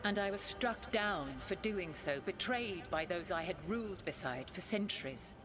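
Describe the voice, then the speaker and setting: A woman playing an ancient, proud elven goddess spirit in a video game, speaking formally and with haughty, deliberate command. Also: on the low side, lively intonation, natural voice. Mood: imperious, challenging. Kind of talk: dramatic video game acting.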